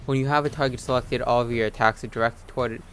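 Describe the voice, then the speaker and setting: A man's voice: medium pitch, steady tone, quick pace. Casual male gamer over game music. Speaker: gaming video host. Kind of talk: casual gameplay commentary, reading on-screen text aloud.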